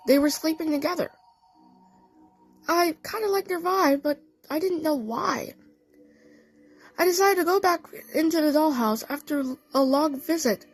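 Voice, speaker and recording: A woman narrating a scripted first-person story, with halting phrasing and odd pauses. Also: sits high, poor audio. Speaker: narrator of a fan audio play.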